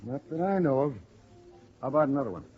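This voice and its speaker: An American actor playing an affable, folksy, talkative roadhouse bartender in a 1950s radio drama. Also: male voice, medium pitch, animated, fast talker, faint music behind, lightly acted. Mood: chatty, easygoing. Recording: dull, noisy vintage radio recording.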